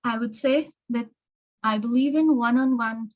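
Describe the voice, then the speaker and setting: A woman's voice: fairly high pitch, unhurried, lo-fi sound. Woman instructor with an American-tinged accent, informal and chatty, repeats herself while giving exam tips. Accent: learned American English. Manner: chatty, repeats words and restarts often.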